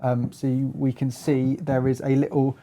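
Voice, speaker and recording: A man's voice: mid-range voice, level tone, moderate pace, warm tone, slight background noise. A man presenting a hands-on music gear review in a casual chat, feeling his way through phrases with lots of hedging.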